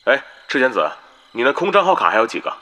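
An actor playing a brisk guild leader giving orders. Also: male voice, medium pitch, very animated, background noise, lightly acted.

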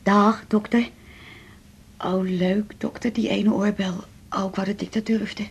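A woman's voice: medium pitch, flat delivery, measured pace, husky. An actress playing a confused, depressed woman patient who rambles hesitantly and pleads, in a radio drama. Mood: flustered. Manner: rambling, hesitant, jumping between thoughts.

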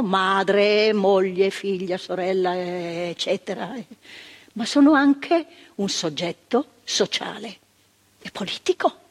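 A woman's voice: medium pitch, darkish tone, husky. An older actress playing a woman psychiatric worker who talks in a reflective, searching way, repeating words for weight. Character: earnest woman psychiatric worker recalling the past. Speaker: actress in a stage monologue. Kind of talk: theatrical monologue. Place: theatre stage. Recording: stage performance with long hall reverb.

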